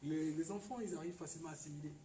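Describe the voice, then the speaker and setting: A man's voice: a little high, racing, dark tone. A man from the Ivory Coast, a guest in a casual chat, who speaks hesitantly, repeats words and stresses points now and then. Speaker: radio guest. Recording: echoey room, voice from far off, faint noise.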